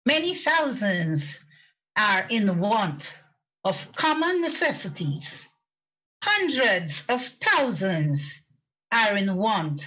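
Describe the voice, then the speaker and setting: A woman acts out a genteel charity lady in a radio play. Her voice is bright and highish, with a lively rise and fall. She makes each appeal slowly and politely, cheerful and full of earnest purpose. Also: exaggerated voice, low-quality recording. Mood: polite, hopeful. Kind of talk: amateur radio play acting. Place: performed remotely over a video call. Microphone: video call.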